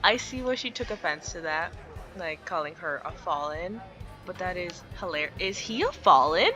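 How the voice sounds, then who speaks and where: Female let's play narrator, bright forward voice, expressive story reading mixed with casual commentary, music underneath. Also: on the low side, moderate pace. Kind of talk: reading a visual novel aloud with casual reactions.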